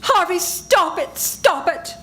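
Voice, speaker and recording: An older woman playing a frightened, suspicious young woman in a radio play reading, anxious and halting. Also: very high-pitched, expressive, pushed and bright, heavily acted. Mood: panicked. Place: senior center event room, live reading. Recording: noisy live room.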